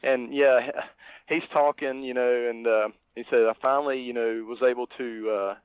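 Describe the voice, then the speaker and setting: A man co-hosting a podcast, talking casually about movies in a halting, reflective way. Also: medium pitch, steady tone, clear, resonant tone, no echo. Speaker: podcast co-host. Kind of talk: casual movie review chat. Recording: thin phone-like line, dry.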